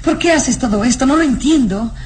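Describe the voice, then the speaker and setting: A woman's voice: sits high, expressive, fairly quick, a little mellow, slight background noise. A woman playing an anxious, bewildered young noblewoman in a radio serial, with an emotional, pleading delivery. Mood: distressed. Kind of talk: Spanish-language radio serial acting.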